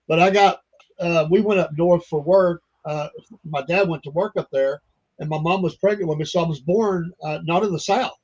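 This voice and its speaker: Older folksy man, slightly high, muffled. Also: normal speed, a little mellow, husky. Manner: chatty, folksy, rambling with fillers. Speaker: podcast host. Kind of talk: casual interview chat.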